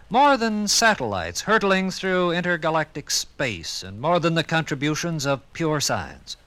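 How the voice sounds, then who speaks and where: A man's voice: medium pitch, fairly bright tone, clean tone. An American man narrating a 1950s radio documentary from a script in a solemn, declamatory, dramatic manner.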